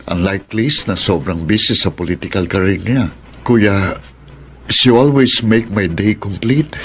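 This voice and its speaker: Low-voiced, lovestruck politician. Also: male voice, unhurried, slight background noise. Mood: confessing, smitten. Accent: Filipino. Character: lovestruck, earnest male politician. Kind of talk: radio drama acting.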